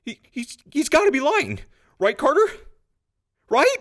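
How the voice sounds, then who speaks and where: Woman playing a stammering, panicky deputy, wildly varied pitch. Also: very animated, unhurried. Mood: nervous, panicked. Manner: nervous stammering. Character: panicky, squeamish, stammering young deputy guard. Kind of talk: post-apocalyptic radio drama acting.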